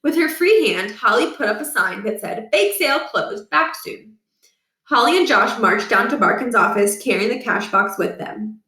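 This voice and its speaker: A woman reading a children's book aloud as an expressive storyteller. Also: on the high side, normal speed. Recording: echoey room, distant from mic.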